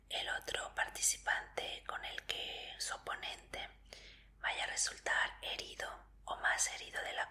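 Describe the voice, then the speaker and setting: A woman in an ASMR roleplay as a medical examiner, speaking in a breathy whisper with a gentle, polite, reassuring manner.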